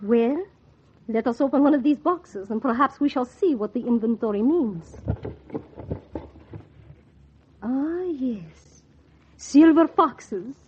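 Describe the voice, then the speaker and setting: An actress playing a haughty, amused French fur buyer with formal, mocking speech in a 1940s radio drama. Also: female voice, high-pitched, animated, measured pace, slight background noise. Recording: dull vintage 1940s radio recording.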